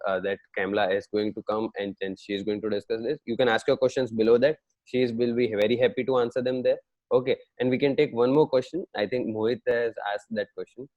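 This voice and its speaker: A man moderating a webinar over a video call, reading out questions at a measured pace with frequent uhs. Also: mid-range voice, dry recording. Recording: dull lo-fi dry sound.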